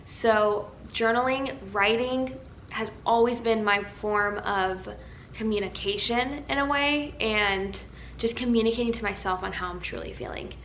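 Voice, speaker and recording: A young woman vlogging in a casual, chatty way, tired and a little raspy from a nap, in a large empty house. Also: medium pitch, a bit bright, echoey room, slight background noise. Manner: chatty, rambling, earnest. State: tired, slightly raspy from just waking up. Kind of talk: casual chat to camera. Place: large empty house used as a wedding venue.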